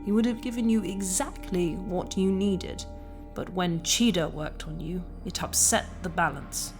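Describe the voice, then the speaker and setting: A solemn alien healer in a sci-fi audio drama. She speaks very deliberately and bluntly in a slightly low voice, with music underneath. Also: darkish tone. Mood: grave, blunt. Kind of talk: science fiction audio drama acting.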